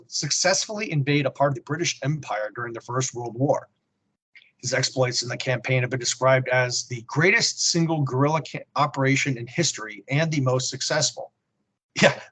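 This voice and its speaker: Male podcast co-host, middle pitch, scripted report delivery, snarky muttered asides. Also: a little fast, a bit bright, breathy edge, poor audio. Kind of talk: comic book report read from a script with asides.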